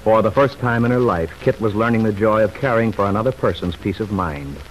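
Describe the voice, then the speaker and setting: A man's voice: fairly low pitch, brisk. A man narrating an old-time radio serial as its announcer, reading scripted story commentary. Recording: faintly noisy vintage radio recording.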